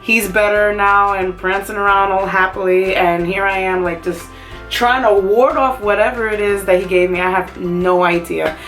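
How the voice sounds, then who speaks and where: A woman, a beauty vlogger talking casually to camera while a bit hoarse from a cough, filling gaps with many "um"s. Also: medium pitch, fairly even intonation, normal speed, a bit bright, faint music behind. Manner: chatty, many "um"s and side remarks. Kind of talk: casual makeup review to camera.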